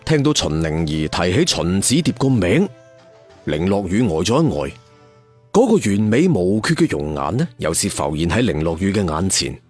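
A man's voice: sits low, big pitch swings, normal speed, a little mellow, slightly rough, faint music behind. A man telling a serial story in a theatrical, colloquial traditional storyteller style. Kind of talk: dramatic serial storytelling narration.

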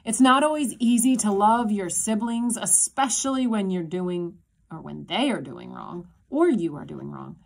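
A woman in her thirties, a pastor, telling a children's sermon in a gentle, simple storytelling way, recorded on a phone in a small room. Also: mid-range voice, lively intonation, unhurried, gravelly. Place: small indoor room with a block wall. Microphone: phone camera at arm's length.

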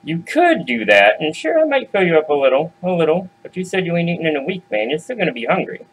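A man voicing a small, quick-witted young goat in a storybook tale, slangy and cajoling. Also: on the high side, big pitch swings, breathy edge, pitched above the performer's own voice, exaggerated voice, rough recording.

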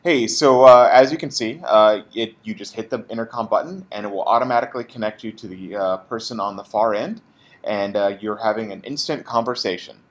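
Male tech support presenter, lowish pitch, informal step-by-step demonstration style. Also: brisk. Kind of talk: product tutorial demonstration.

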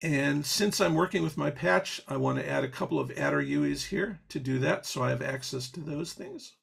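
A man's voice: on the high side, expressive, normal speed, vocal fry, a bit bright, slightly rough. A man teaching an audio programming patch step by step on a video call, explaining as he goes with fillers and restarts. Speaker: software instructor. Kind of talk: live online coding demo.

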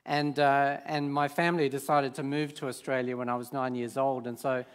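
A man in his fifties, born in Sweden with Swedish as his first language, preaching on a large church stage in a relaxed, hesitant way full of fillers. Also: mid-range voice, normal speed, smooth. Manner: hesitant, many fillers and restarts. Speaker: guest preacher. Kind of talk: informal sermon.

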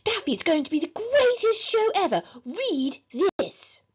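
A woman's voice: big pitch swings, measured pace, muffled recording, full character voice. A woman voicing a timid, goody-goody small boy with a childlike voice in a storybook. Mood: eager, gushing. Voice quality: high, childlike.

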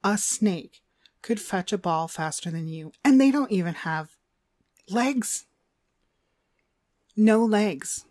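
A woman playing a cruel, domineering demonic dog trainer in an ASMR roleplay, haughty and scolding with drawn-out emphasis. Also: lowish voice, darkish tone, no room sound, studio quality.

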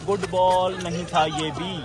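Man doing lively amateur cricket commentary. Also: high voice, a little slow. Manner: excited commentary, rising on big shots. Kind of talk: live ball-by-ball commentary. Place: outdoor floodlit cricket ground, netted. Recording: crowd noise from an outdoor ground. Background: players and crowd chatter.

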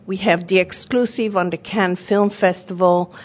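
A woman's voice: medium pitch, steady tone, normal speed. A woman with a foreign accent, born in Israel and raised in Europe and Australia, giving an earnest, personal talk as a panelist and television network owner. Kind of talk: panel presentation. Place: conference room at a workshop. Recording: dull lo-fi conference audio with faint hum.

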